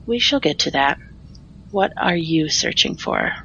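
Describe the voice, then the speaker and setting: Casting: actress for a cryptic, regal oracle, lowish female voice, formal and measured, audio drama, slightly muffled sound. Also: lively intonation, gravelly, bright, forceful tone, slight background noise, heavily acted. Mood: calm authority. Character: enigmatic oracle queen, calm, measured and commanding.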